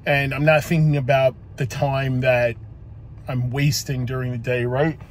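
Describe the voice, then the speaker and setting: A man's voice: mid-range voice, flat delivery, unhurried, a little hiss or hum. A man in his forties, a police officer, giving a casual motivational talk into a phone camera in his parked car, rambling with many ums and likes and casual swearing. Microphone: phone camera on the dashboard.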